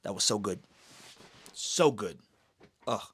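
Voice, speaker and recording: A man giving casual, excited fan commentary in a slangy, expressive way, throwing in exclamations. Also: lowish voice, slow pace, breathy edge. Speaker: streamer reviewing an animated series.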